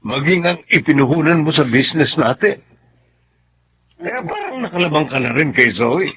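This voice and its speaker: A sensible husband at the end of his patience in a radio melodrama. The man's voice is fairly high and raspy. He chides and insists as he reasons. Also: sing-song, moderate pace, a bit bright, full character voice. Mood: exasperated, reasoning. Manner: chiding, insistent. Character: reasonable, exasperated husband scolding his wife. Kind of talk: Tagalog radio melodrama acting.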